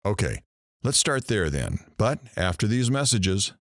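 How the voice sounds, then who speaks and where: An actor playing a brash, sarcastic morning radio host in an audio drama, with showy patter. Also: male voice, fairly low pitch, sing-song, a little slow, slight background noise. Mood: bantering.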